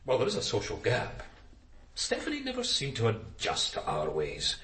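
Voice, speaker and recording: A man's voice: mid-range voice, wildly swooping pitch, normal speed, a bit bright, roomy sound, slight background noise. An actor playing a middle-aged, stiff and evasive adoptive father in a naturalistic radio drama. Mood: disapproving. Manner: prim, evasive, self-justifying.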